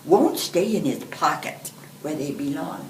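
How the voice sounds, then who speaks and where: A woman's voice: deep voice, expressive, unhurried. An elderly woman voicing a teasing, mock-puzzled older sister in a storybook. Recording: roomy, distant microphone, background hiss.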